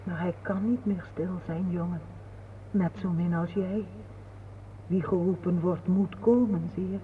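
A thin, noisy old radio play recording carries a gentle older mother played by a woman. She speaks slowly and patiently, consoling and solemn, with a serene sorrow for her lost son. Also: lowish voice, steady tone, narrow, tinny audio, lightly acted. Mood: calm, consoling. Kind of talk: solemn radio drama acting.

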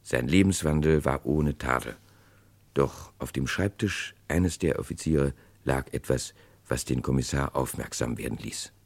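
A man's voice: rumbling low, a little slow, slightly rough, no echo. A man giving dramatic scripted narration for a vintage radio crime play.

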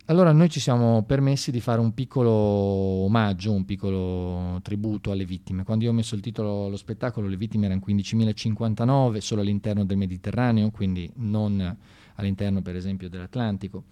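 A man delivers a conversational theatrical monologue with a sardonic, colloquial, animated manner, moving between emphatic exclamations and quieter asides. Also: fairly low pitch, brisk. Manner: animated, swinging from shouted exclamations to hushed asides. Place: live theatre performance.

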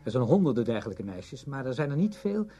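A man's voice: mid-range voice, very animated, a little fast, dark tone, faint music behind. An actor playing a brash, self-satisfied orchestra conductor in a Dutch radio drama, blunt and offhand in his talk.

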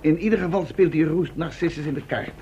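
A man's voice: fairly high pitch, brisk, mellow, close to an everyday voice. An actor playing a practical, easygoing male captive in a Dutch radio play, speaking in a plain, matter-of-fact way. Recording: muffled, noisy old radio play recording.

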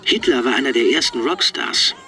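A man's voice: medium pitch, lively intonation, normal speed, music in the background, lightly acted. A man playing an eccentric, provocative rock star in theatrical quotes for a radio documentary.

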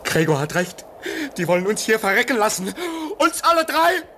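Bitter Arctic expedition member, high-pitched, very animated intonation, fatalistic, radio drama, faint noise. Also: male voice, moderate pace, full character voice. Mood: bitter, alarmed. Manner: sharp, bitter, provocative. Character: cynical, fatalistic, hard-drinking stranded polar researcher. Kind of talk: German radio play acting.

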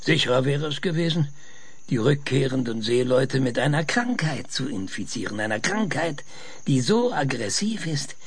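Gravelly, impatient older scientist. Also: male voice, medium pitch, expressive, normal speed, dry recording. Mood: lecturing. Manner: lecturing, emphatic, with hesitations and rhetorical questions. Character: impatient, sarcastic older male scientist with a grim theory. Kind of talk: German radio play acting.

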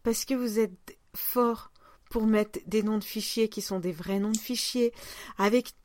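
A woman's voice: mid-range voice, steady tone, unhurried, darkish tone, no room sound, clean studio sound. A woman hosting a podcast in casual chat, talking in an informal, rambling, self-deprecating way. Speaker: podcast host.

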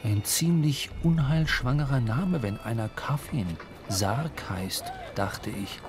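Man acting brooding seafarer, medium pitch, wry measured dramatic narration, radio drama, music behind. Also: lightly acted.

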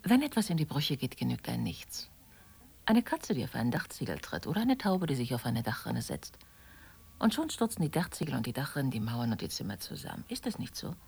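A husky, wistful woman. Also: extremely low pitch, big pitch swings, fairly quick, a little mellow, heavily acted. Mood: pensive, reproachful. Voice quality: husky, breathy, often dropping to near whisper. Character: wistful, gently reproachful woman, former lover of a married man. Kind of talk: German radio play dialogue.

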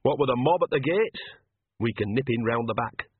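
A man's voice: medium pitch, lively intonation, normal speed, husky, no room sound, narrow, tinny audio. A man voicing a bossy, shrewd older woman witch who talks in a blunt, colloquial, cajoling way. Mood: scheming.

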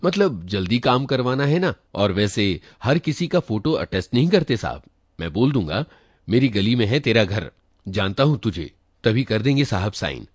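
A man's voice: on the low side, sing-song, normal speed, breathy edge, no echo, voice pushed up. A man voicing a sly, greedy clerk in a short story, insinuating that he wants a bribe. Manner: soft-spoken, insinuating. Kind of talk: dramatized short story reading.

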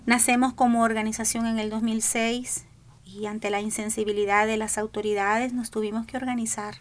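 Salvadoran activist mom, middle-aged, earnest pleading testimony, fairly high voice. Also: female voice, flat delivery, moderate pace. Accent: Salvadoran Spanish. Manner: earnest, pleading, repeats "¿verdad?". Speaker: mother of a missing migrant, activist. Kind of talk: radio panel testimony.

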